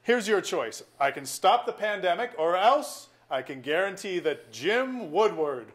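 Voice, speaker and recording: A man's voice: sits high, big pitch swings, unhurried. A man acting a sardonic, middle-aged small-town lawyer with a grudge in a staged play reading, wry and wordy. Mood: ranting. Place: auditorium.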